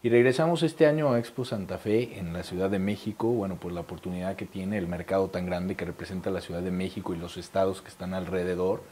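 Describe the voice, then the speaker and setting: A man's voice: sits low, fairly even intonation, quick pace, hardly any pauses, warm tone, a little hiss or hum. A man in his thirties with a Mexican Spanish accent, an event organizer talking fluently and promotionally in a studio interview, with a lapel mic. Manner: fluent promotional explaining with fillers.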